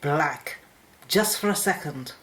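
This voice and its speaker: A woman's voice: low voice, expressive, slow pace, fairly bright tone, full character voice. A woman playing an urgent, determined woman scientist in a radio play, grave and wary. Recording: roomy, echoing studio sound.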